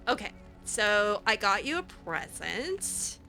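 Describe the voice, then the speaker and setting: A woman improvising in-character dialogue as she plays a roleplaying game, halting and repeating words. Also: medium pitch, very animated, creaky, bright, forceful tone, faint music behind.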